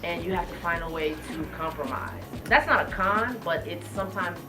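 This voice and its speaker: A woman's voice: fairly low pitch, moderate pace. A young woman giving informal, encouraging, emphatic answers in an interview with young students. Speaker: radio host being interviewed. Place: indoors in a room. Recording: distant mic, music behind. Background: music.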